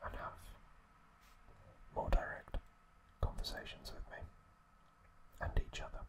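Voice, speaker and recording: A man whispering gently and unhurriedly to his listeners as an ASMR host. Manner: gentle, unhurried, softly spoken direct address to listeners.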